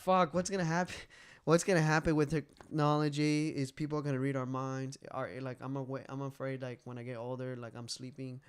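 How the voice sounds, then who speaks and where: A man in his late twenties talks on a podcast in a clear, medium-pitched voice. He is candid and casual, circling back over his phrases as he rambles and swearing now and then. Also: little pitch movement, brisk, hardly any pauses. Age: late 20s. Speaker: podcast co-host.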